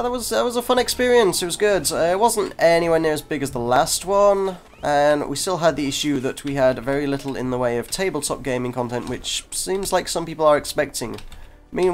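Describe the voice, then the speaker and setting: A congested man, hosting a gaming video with casual, self-deprecating chat that breaks off mid-sentence. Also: fairly high pitch. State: congested from a cold. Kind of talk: casual gameplay commentary. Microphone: desk microphone.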